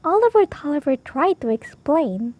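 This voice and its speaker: A woman reading a rhyming children's story aloud in an expressive, rhythmic storytime style. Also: high-pitched, normal speed, no room sound.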